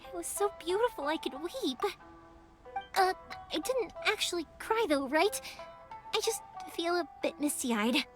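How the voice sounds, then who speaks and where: Sunny young fireworks artisan; high, animated, earnest read over game score. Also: female voice, a little slow. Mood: cheerful. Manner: warm, earnest, reflective with soft laughs. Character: cheerful young female fireworks maker. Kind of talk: video game dialogue. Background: game music.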